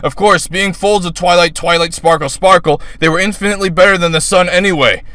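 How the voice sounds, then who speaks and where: Bright, high-pitched man reading with bombast. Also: steady tone, fairly quick, no echo. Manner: bombastic, grandiose, loud and strained delivery. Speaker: fan fiction reader. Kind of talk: dramatic reading of a comic fan story.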